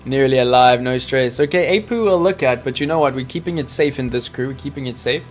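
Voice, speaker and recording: A man's voice: mid-range voice, a little fast. A man hosting a live crypto trading stream, talking to his chat in a hyped, salesy way. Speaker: crypto trading livestream host.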